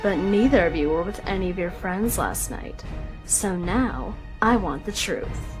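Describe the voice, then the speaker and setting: A woman playing a strict, sharp-tongued echidna mother in a comic dub. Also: lowish voice, expressive, measured pace, faint music behind. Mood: exasperated.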